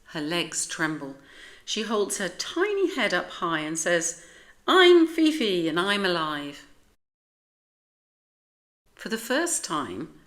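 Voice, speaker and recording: A British woman reads a children's story aloud at an unhurried pace. Her voice is on the low side and very lively in intonation, shaped for young listeners. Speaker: storybook narrator. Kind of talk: expressive read-aloud for children.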